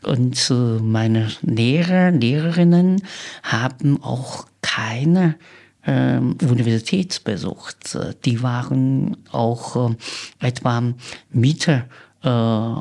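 A man in his sixties from China with a Chinese accent, a native Chinese speaker, haltingly recounting his life as an interview guest and searching for words. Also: mid-range voice, creaky, a little mellow. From Sichuan, China. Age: 60s.

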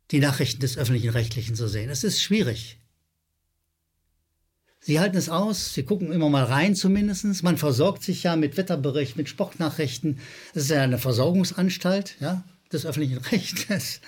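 A man's voice: mid-range voice, darkish tone, husky. A middle-aged or older man with a slight Rhineland German accent, hosting an opinionated media commentary in a sardonic, emphatic manner, repeating words for effect. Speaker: host of a media criticism show. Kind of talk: opinionated commentary addressed to viewers.

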